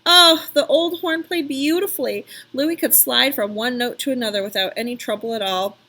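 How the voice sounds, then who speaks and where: A woman narrating a children's story aloud in an expressive, emphatic storytelling style. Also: high-pitched, normal speed.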